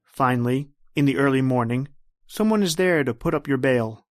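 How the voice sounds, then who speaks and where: A man's voice: mid-range voice, moderate pace, no echo, studio quality, natural voice. A man playing a knowing, taunting narrator in a scripted suspense radio drama. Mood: ominous, insinuating. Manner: insinuating, knowing. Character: ominous, taunting male narrator addressing the hero.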